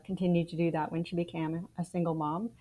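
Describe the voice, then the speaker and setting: A woman's voice: fairly low pitch, little pitch movement, fast, warm tone. A Canadian woman in her forties, a podcast guest, telling her life story frankly and with dry wry humor. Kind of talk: casual interview storytelling.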